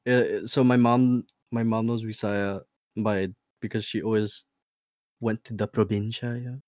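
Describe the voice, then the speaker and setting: A young man in his twenties, a guest on a podcast, chatting in an excitable, slangy way. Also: on the low side, measured pace, no echo. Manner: excitable, slangy, laughs often. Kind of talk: casual chat.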